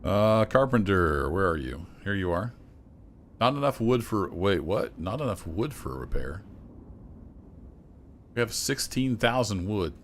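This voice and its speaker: A man streaming a city-building game, chatting casually and thinking aloud as he plays, his voice a little rough. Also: fairly low pitch, measured pace, pauses often, slight background noise. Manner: relaxed, thinking aloud with fillers and restarts. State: slightly rough voice. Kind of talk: casual gameplay commentary.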